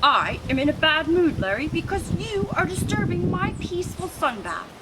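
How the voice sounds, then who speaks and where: Woman putting on a cranky lizard guy, bossy and prissy, high and sing-song, hiss behind. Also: sing-song. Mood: scolding. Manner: haughty, scolding, drawn-out. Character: grumpy fussy male lizard.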